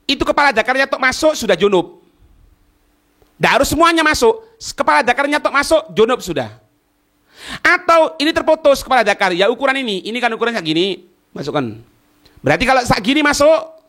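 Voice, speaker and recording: Animated high-pitched Indonesian male religious teacher. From East Java, Indonesia. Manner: didactic, repeats phrases, asks rhetorical questions. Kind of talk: religious lesson explaining a text line by line.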